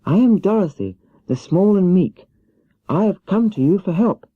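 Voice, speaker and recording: A man playing a meek little girl from a storybook, pitched far up into a high voice. She is earnest and small, on a slightly dull, dry old cassette recording. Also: lively intonation, normal speed, dry recording. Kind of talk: children's audiobook character voice. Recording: dull 1970s cassette audiobook.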